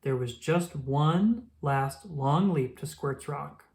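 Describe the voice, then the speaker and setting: A woman reading a children's book aloud as an expressive storyteller. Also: deep voice, slow.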